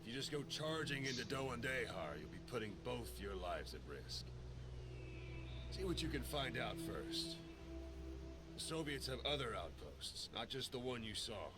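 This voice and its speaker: A man playing a seasoned military intelligence operative in a video game, briefing a soldier in a measured, commanding, dramatic way. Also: medium pitch, very animated, music in the background. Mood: grave, commanding. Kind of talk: video game cutscene acting.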